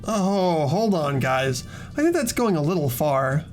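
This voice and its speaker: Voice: mid-range voice, very animated, a little slow, a little mellow. A performer voicing an earnest young student protagonist who questions and narrates hesitantly in a fan-voiced visual novel. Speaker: voice actor in fan game playthrough. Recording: music under the voice.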